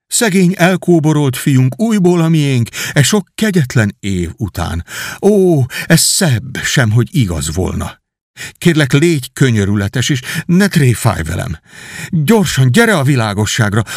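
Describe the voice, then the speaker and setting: A man reading aloud and voicing a young nobleman who speaks softly, with pretended sympathy. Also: medium pitch, very animated, dry recording, clean studio sound, voice pushed up. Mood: feigned surprise. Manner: soft, measured, feigned pity. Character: cold, falsely gentle young male nobleman. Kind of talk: audiobook reading.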